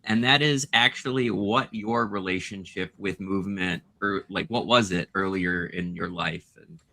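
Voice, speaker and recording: A man hosting a panel, asking questions in a relaxed, easygoing way with fillers. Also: sits low, dry recording. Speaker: host. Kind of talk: casual panel moderation.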